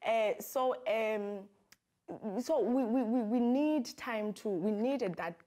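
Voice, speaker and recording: A woman's voice: a little high, slow pace, warm tone. A woman from South Africa in her thirties, a human rights activist answering questions earnestly on a talk show, with many fillers and restarts. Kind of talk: talk show guest interview.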